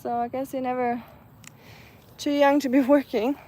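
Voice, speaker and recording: A woman from Germany with a German accent, chatting casually and softly outdoors, with many ums. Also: high voice, flat delivery, moderate pace. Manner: soft-spoken, chatty, many ums, laughs lightly. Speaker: vlogger. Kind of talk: casual live chat outdoors. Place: outdoors in the evening, nature sounds around.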